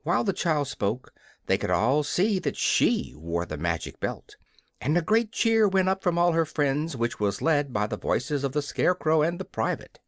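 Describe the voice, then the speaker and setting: Animated male storybook narrator. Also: a little high, moderate pace, dry recording, clean studio sound. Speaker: audiobook narrator. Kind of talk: expressive children's storybook narration.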